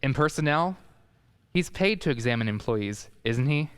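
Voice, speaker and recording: A woman playing an excitable, fretful male department store manager in a radio play, exclamatory and wheedling. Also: extremely low pitch, moderate pace, smooth. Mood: persuading, fretful.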